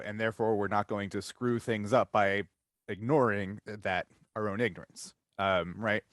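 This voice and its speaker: A man's voice: on the low side, dry recording. Man thinking aloud as interview host, dry sound. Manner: halting, thinking aloud, rambling. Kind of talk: casual discussion interview.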